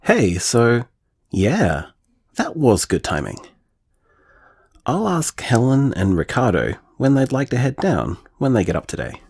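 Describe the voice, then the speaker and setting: A man's voice: mid-range voice, huge pitch swings, unhurried, creaky, darkish tone, husky, full character voice. An actor playing an earnest, restless young man in a naturalistic audio drama, speaking hesitantly and reflectively as if leaving voicemails. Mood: anxious, weary.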